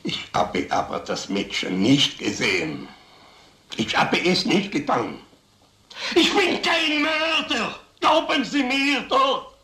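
An actor playing a cornered, frightened peddler in a crime radio drama, halting and submissive. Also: male voice, extremely high pitch, wildly swooping pitch, bright, forceful tone, reverberant, full character voice. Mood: desperate pleading. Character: frightened, cornered male peddler suspected of murder.

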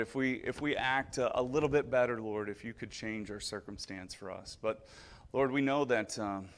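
A man's voice: on the low side, a bit bright. Middle-aged man, children's sermon, friendly questions, frequent fillers, church sanctuary, far camera.

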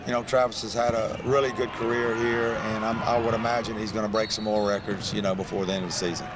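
An older man, a basketball head coach, answering post-game questions courtside in a calm, halting way with many restarts. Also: lowish voice. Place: indoor basketball arena, courtside. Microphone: handheld reporter mic. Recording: crowd noise in a large arena. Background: arena crowd.

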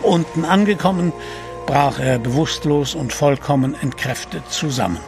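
A man's voice: on the high side, animated, normal speed, gravelly, faint music behind. A man narrating an adventure audio drama from a script.

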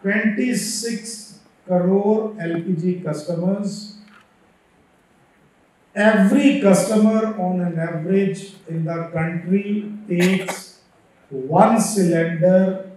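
A middle-aged man with an Indian English accent giving a conference keynote from a podium, emphatic and slowing down to stress figures. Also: sits high, mellow. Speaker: keynote speaker. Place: large auditorium stage. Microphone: podium microphone, heard from far. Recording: echoey hall from far off.

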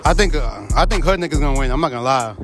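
A man's voice: fairly high pitch, lively intonation, racing, over music. A young man in his late teens hosting a casual street interview in a shopping mall on a handheld mic, talking in laid-back slang and repeating short affirmations.

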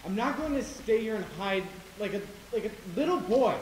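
A man's voice: high-pitched, animated, moderate pace. A young man acting a proud, stubborn teenage boy in a stage play. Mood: urgent, defiant. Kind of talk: amateur stage acting in a high school play. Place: theater stage, indoors. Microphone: distant camera. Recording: echoey stage, hissy distant camera.

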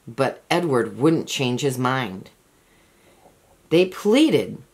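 A woman reading a children's picture book aloud as an expressive, deliberate storyteller. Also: low voice. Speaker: storybook reader.

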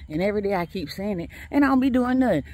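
A woman's voice: medium pitch, animated, very fast, a little mellow, slight background noise. A woman chatting casually to her viewers while she walks on an outdoor track, laughing often and talking straight to them. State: walking outdoors, a bit tired. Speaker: vlogger.

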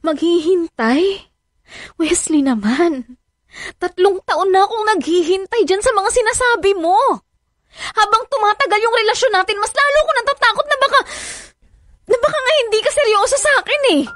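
A woman's voice: very high-pitched, normal speed, fairly bright tone, no room sound, clean studio sound. An actress playing a hurt, insistent young mother and mistress in a Filipino radio drama, pleading and confrontational.

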